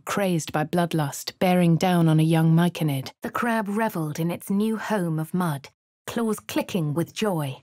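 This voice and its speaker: A woman's voice: sits low, a little mellow, no echo. An actress voicing a posh female adventurer in a fantasy game, narrating and remarking in a refined, dry manner. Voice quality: crisp upper-class English diction. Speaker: player character. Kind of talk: game voice lines.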